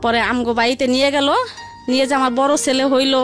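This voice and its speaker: A woman's voice: high-pitched, flat delivery, a little fast, soft background music. A woman telling her life story in a rambling, repetitive, conversational way. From Barisal region, Bangladesh. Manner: rambling, repetitive, colloquial narration.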